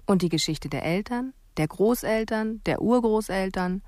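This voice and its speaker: An actress playing a candid female psychologist narrating her memories in a radio drama, measured and matter-of-fact. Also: mid-range voice, darkish tone, dry recording, clean studio sound, natural voice. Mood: pondering. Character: reflective, candid female psychologist recalling a case.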